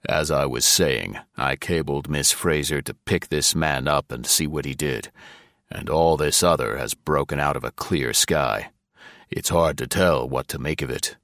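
A man's voice: extremely low pitch, a little slow, fairly bright tone, dry recording, clean studio sound, full character voice. A man voicing a blunt, impatient government chief who growls his orders, in a story reading. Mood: stern. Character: gruff blustering male government chief.

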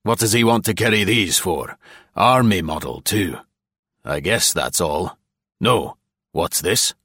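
A man reading an audiobook, voicing a burly, weather-beaten old sea captain who speaks gruffly and impatiently. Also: sits low, animated, takes it slowly, fairly bright tone, no room sound, studio quality, full character voice. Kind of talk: audiobook character voice.

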